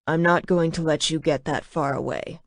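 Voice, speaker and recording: A woman's voice: low voice, steady tone, normal speed, gravelly, dry recording, studio quality, pitched below the performer's own voice. A woman voicing a commanding male dragon shifter who speaks in curt, clipped orders. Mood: stern.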